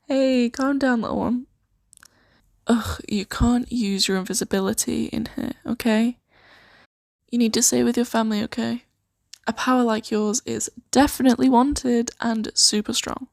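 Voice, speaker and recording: Woman acting as a slow, creaky-voiced kind sanctuary boss. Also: on the high side, fairly even intonation, no room sound, studio quality. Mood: gentle, protective. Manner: hesitant, giggly, soft-spoken. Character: kind, shy, flustered female boss of a secret sanctuary. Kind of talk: improvised ASMR roleplay.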